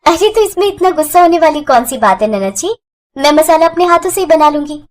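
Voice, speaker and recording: Young newlywed bride played by a female narrator, high voice, earnest, accommodating, storybook drama. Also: expressive, brisk. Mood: eager, obliging. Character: good-natured newlywed young daughter-in-law.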